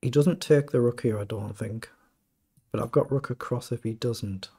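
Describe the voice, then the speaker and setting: A middle-aged man with a croaky voice, thinking aloud as he plays fast chess and comments on each move. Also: medium pitch, dark tone. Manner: thinking aloud, muttering over moves. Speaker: chess streamer. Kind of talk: live game commentary.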